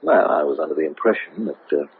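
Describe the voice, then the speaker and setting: A man's voice: extremely low pitch, lively intonation, quick pace, vocal fry, heavily acted. An actor playing a courteous, guarded middle-aged man in a radio drama, polite and slightly hesitant. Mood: puzzled, flattered. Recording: noisy, tinny, band-limited vintage radio recording.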